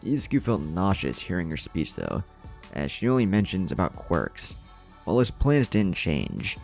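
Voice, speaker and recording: Deep guy narrating a dramatic story, voice swings up and down, faint music under it. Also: creaky, a little mellow. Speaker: narrator of a fan fiction story.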